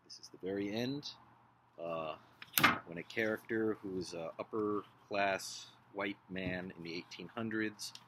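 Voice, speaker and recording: A man's voice: fairly low pitch, a little slow, background noise. A man casually introducing a book passage he is about to read aloud. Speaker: amateur reader.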